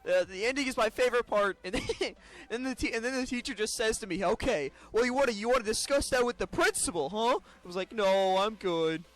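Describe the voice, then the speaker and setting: A man's voice: sits high, animated. A teenage boy, a podcast co-host, who keeps laughing and cutting in with jokes. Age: teens. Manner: laughs a lot, quick joking interjections. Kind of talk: casual chat, joking.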